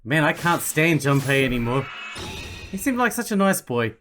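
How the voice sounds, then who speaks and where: A man's voice: on the high side, sing-song, moderate pace, a bit bright. A man giving casual gameplay commentary, thinking aloud and swearing freely. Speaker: gaming streamer. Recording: game music faintly behind.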